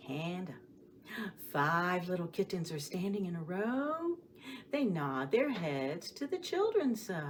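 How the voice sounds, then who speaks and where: Woman, children's storytime reader, slow pace, very varied pitch, expressive, questioning the kids, background noise. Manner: addresses children directly with questions and pauses for answers; sing-song, expressive delivery. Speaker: children's librarian hosting storytime. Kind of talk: storytime read-aloud and rhymes for young children. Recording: clearly audible background noise.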